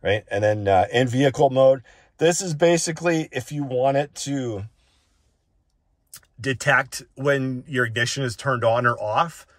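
A man's voice: mid-range voice, measured pace, no room sound, clean studio sound. A man giving a casual, unscripted tech walk-through in an informal way, often checking in with the listener as he goes. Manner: informal, often ends points with a checking "right?". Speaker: tech reviewer presenting a settings walk-through.

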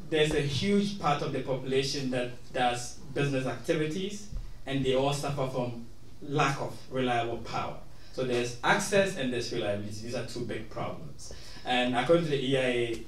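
A man from Ghana stands in the audience of a panel talk and asks his question without a microphone. He sounds distant and roomy, with a faint hum behind him. His tone is earnest and builds to pointed asks. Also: mid-range voice. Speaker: audience member asking a question. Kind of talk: question from the floor. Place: large meeting room with audience.

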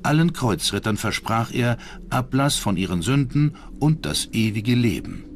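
A man's voice: medium pitch, big pitch swings, measured pace, a little hiss or hum. A man narrating a scripted historical documentary. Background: music.

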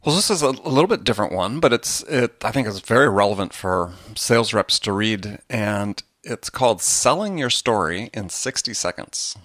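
A man's voice: on the low side, expressive, normal speed, few pauses, a bit bright, dry recording, clean studio sound. A man speaking as a podcast host, explaining things conversationally in a rambling way with frequent asides. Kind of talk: conversational book discussion on a podcast.

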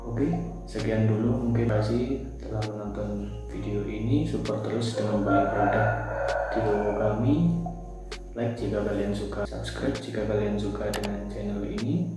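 Echoey, low-pitched young man. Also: fairly even intonation, normal speed, over loud music. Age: young adult. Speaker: shop presenter giving product tutorial. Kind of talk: informal step-by-step product demonstration. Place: small bare room, indoors at a table. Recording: very echoey, reverberant room.

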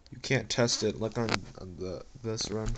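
A man's voice: on the low side, moderate pace. A man giving casual setup commentary, muttering to himself and reading screen prompts aloud. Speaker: amateur gadget reviewer. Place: small indoor room at home. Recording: faint background noise with device clicks.

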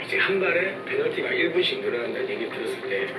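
A man on a TV competition show, briskly giving commanding orders to his team in casual speech. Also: medium pitch, big pitch swings. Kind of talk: team briefing and interview. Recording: distant, echoey TV clip under loud music.

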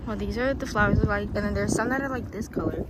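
A woman's voice: mid-range voice, fast talker. A young woman vlogging casually outdoors on a phone, talking informally with lots of "like". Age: young adult. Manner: informal, frequent "like". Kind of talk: casual chat while filming. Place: outdoors in an open sunflower field. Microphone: handheld phone, often at arm's length. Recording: noisy outdoor phone recording.

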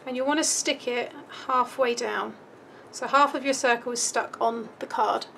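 A woman's voice: a little high, steady tone, moderate pace, vocal fry, sharp tone, slight background noise. A British woman giving a casual, chatty step-by-step craft tutorial, thinking aloud as she goes, her voice starting to give out. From United Kingdom. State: starting to lose voice. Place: indoors at a craft table.

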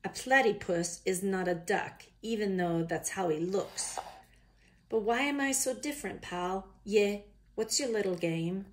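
A woman's voice: medium pitch, unhurried, lightly acted. Woman putting on an indignant koala, attempted Australian accent. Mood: exasperated. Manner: blunt, grumbling, emphatic. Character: exasperated, indignant cartoon koala. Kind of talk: children's picture book read-aloud.

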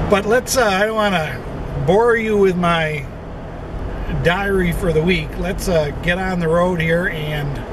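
A man's voice: high-pitched, far from the mic. A man in his fifties talking casually to the camera from inside a car, rambling in an easygoing way with lots of fillers. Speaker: vlogger. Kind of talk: casual travel vlog narration. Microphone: camera on the dashboard. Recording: road and car noise.

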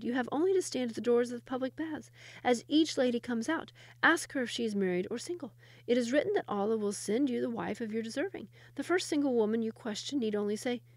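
A woman's voice: fairly high pitch, a little mellow, no room sound, pitched above the performer's own voice, natural voice. A woman voicing a sly young shepherd boy who explains the local laws knowingly.

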